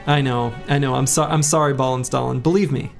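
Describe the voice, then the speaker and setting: A man streaming a game, chatting casually with viewers in a rambling way, his voice a little hoarse. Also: medium pitch, steady tone, brisk. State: slightly hoarse. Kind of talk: casual live-stream chat while playing. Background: faint game music.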